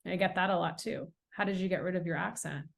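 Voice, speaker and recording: A woman's voice: lowish voice, fast, gravelly. A middle-aged American woman, an author and guest on a podcast interview, telling stories in an earnest, emphatic way. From United States. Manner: earnest, emphatic, animated storytelling.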